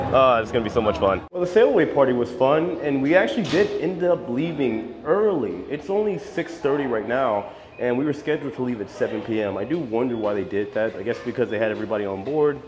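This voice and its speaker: A man's voice: medium pitch, animated, a little fast, over music. An American man in his thirties giving a casual walking-tour commentary as a travel vlogger, recorded on a handheld camera. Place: walking around a cruise ship, decks and indoor areas. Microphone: handheld camera at arm's length.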